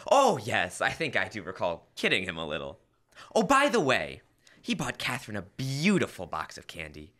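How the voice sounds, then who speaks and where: A young man acts out a flustered, earnest radio-comedy husband. His delivery swings up and down with lively intonation, in the manner of an old-time radio show. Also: on the low side, moderate pace. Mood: eager, pleading. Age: teens to young adult. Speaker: student actor. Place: school library room, staged performance. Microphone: stand microphone on stage.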